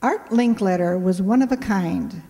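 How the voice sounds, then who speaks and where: A woman's voice: medium pitch, measured pace, warm tone. A woman giving a scripted introduction to an audience as the presenter of a radio play. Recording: echoey hall.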